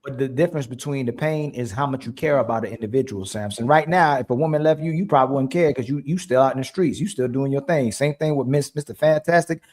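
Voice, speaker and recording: A man hosting a casual podcast debate, animated and repeating names to cut in. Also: medium pitch, quick pace, hardly any pauses. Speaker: podcast host.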